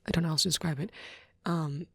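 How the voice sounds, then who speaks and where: A shy young vampire boy played by a woman rambles softly in an ASMR roleplay. The voice is lowish, breathy and creaky, hesitant and close in a dry space, often sinking toward a whisper. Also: steady tone, quick pace, no echo. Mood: shy, musing. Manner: soft-spoken, hesitant, often dropping into whispers.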